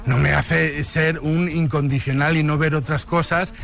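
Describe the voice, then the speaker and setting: A man's voice: a little high, steady tone, unhurried, gravelly, a bit bright. A man from Spain with a peninsular Spanish accent, debating casually as a radio panellist in a wordy, playfully grandiose way. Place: radio studio.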